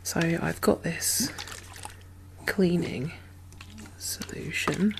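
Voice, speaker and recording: A woman playing a gentle, chatty medic in a zombie apocalypse ASMR roleplay, speaking softly and hesitantly with a reassuring manner. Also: lowish voice, very slow, creaky, background noise, heavily acted. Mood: soft reassurance. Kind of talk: soft-spoken, whispered ASMR roleplay.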